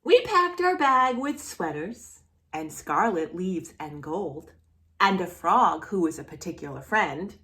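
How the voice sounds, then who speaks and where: Slow, very lively woman reading to children. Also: fairly low pitch. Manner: playful, expressive, exaggerated reactions, addresses the child directly. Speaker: children's storyteller and channel host. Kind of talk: read-aloud children's story with questions to young listeners.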